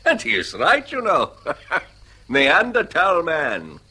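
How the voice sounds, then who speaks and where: An actor playing a jovial, self-mocking rich businessman in a radio play, blunt and expansive with a husky voice. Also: fairly high pitch, huge pitch swings, slow pace, sharp tone, full character voice. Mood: boisterous, self-mocking. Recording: radio drama recording.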